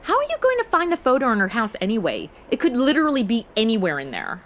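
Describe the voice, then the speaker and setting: A woman's voice: a little high, huge pitch swings, fast talker, a little hiss or hum. A woman playing a slangy, blunt, sarcastic modern young woman who is a detective's sidekick in a comedy audio drama. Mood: wry, questioning.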